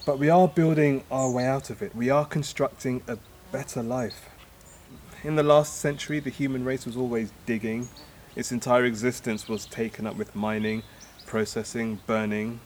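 Wistful idealist played by a man, halting and reflective, naturalistic audio drama, faint outdoor birds and insects. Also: medium pitch, a little mellow, a little hiss or hum, close to an everyday voice. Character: wistful departing man, hopeful philosophising idealist, father.

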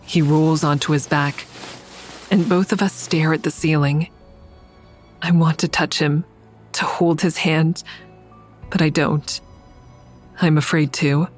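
A woman's voice: low-pitched, steady tone, slow pace, long pauses, soft background music. A woman playing a guilt-ridden, weary heroine who narrates her own story in an audio drama, blunt and subdued. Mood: somber, confessional. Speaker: narrator and lead actor. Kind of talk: audio drama first-person narration and dialogue.

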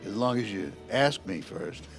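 A man's voice: lowish voice, animated, a little slow, vocal fry, faint music behind. A man playing a drunken, effusive vice-president in a comedic audio drama, gushing and slurring as he goes. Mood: drunkenly gushing.

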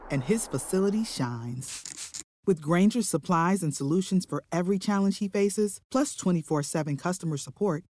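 Commercial voice-over, mid voice, smooth scripted read, light background hiss. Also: medium pitch, a little mellow. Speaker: ad narrator.

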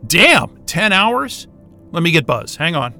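An actor playing an irreverent, wisecracking astrophysicist in a sci-fi audio drama, with a sarcastic, informal and exasperated way of talking. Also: male voice, a little high, big pitch swings, slow, vocal fry, bright, forceful tone, faint music behind, exaggerated voice. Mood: sarcastic, grumbling. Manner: sarcastic, informal, hesitant muttering and outbursts.

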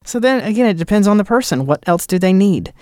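A man's voice: sits high, animated, fast talker, a little mellow, no echo. Rambling thoughtful man, dry close mic. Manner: rambling, thoughtful, trails off mid-sentence. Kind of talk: casual podcast chat.

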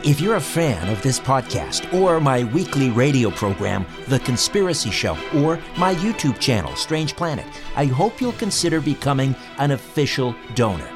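A man with a mid-pitched voice reads scripted copy as a podcast and radio host. His delivery is that of prepared narration and ad reads, with faint music underneath. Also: very animated, normal speed, few pauses.

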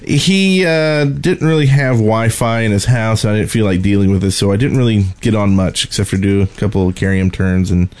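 A man co-hosting a podcast, chatting casually about games and life in a halting, rambling, informal way. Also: fairly low pitch, steady tone, a little mellow. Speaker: podcast co-host. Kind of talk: casual gaming podcast chat.

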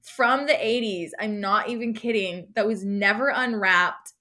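A woman's voice: medium pitch, unhurried, pushed and bright. A woman chatting casually as a podcast guest, slangy and peppering her speech with "like". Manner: slangy, heavy use of "like". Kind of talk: casual, giggly anecdote chat.

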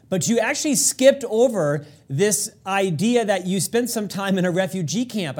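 Effusive male host, high voice. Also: darkish tone. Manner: effusive, long-winded questions. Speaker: interview host. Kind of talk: interview hosting.

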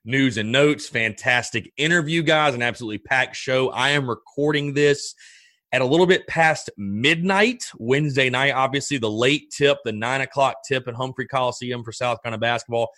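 A young man hosting a sports talk podcast late at night, rambling and excitable, his voice a little raspy. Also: medium pitch, moderate pace, dry recording, clean studio sound. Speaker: podcast host.

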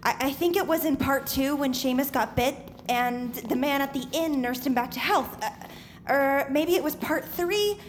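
A woman's voice: high-pitched, moderate pace, a little hiss or hum, lightly acted. A woman playing a defensive, geeky teenage girl in an audio drama, hesitant and prone to stammering. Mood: flustered.

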